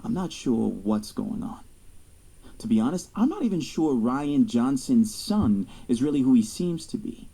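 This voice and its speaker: A man's voice: mid-range voice, very animated, a little slow, vocal fry, dark tone, husky, heavily acted, rough recording. An actor playing a young male athlete, guarded and curious, narrating his own story in an audio drama. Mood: wary. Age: young adult. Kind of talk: first-person audio drama narration and dialogue.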